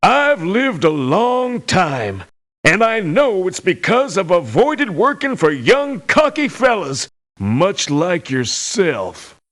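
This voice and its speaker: An actor playing a crotchety old American veteran mercenary in a video game, folksy and scornful of youngsters. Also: male voice, sits high, very animated, takes it slowly, a bit bright, heavily acted. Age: older. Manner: folksy, cantankerous. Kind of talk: video game character voice lines.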